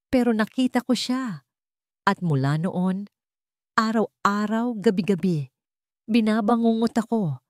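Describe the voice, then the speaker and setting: Babaeng gumaganap na lalaking puno ng pagsisisi, nagmamakaawa. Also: male voice, high-pitched, darkish tone, no room sound, clean studio sound, pitched above the performer's own voice. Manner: pleading, breaking voice. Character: anguished young man confessing a guilty secret.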